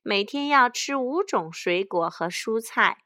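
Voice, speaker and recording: Woman playing a loud gloating weasel bandit chief. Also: on the high side, expressive, measured pace, no echo, clean studio sound. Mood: mocking. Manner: jeering, boastful shouting.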